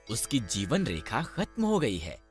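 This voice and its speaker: A man's voice: medium pitch, huge pitch swings, measured pace. A man voicing a dutiful, flustered heavenly bookkeeper in a cartoon. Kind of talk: exaggerated mythological cartoon acting. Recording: loud music under the voice.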